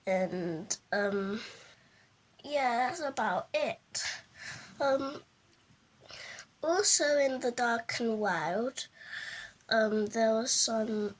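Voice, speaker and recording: Female, higher pitch, extremely slow pace, halting, simple wording, casual testimonial. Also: animated. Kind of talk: spoken testimonial, casual interview answers.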